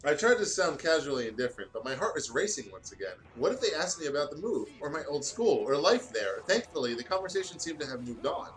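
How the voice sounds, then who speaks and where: A man's voice: on the high side, big pitch swings, fast, few pauses, faint music behind, low-quality recording. A man reading fan fiction aloud in a casual style as a co-host of a gaming video.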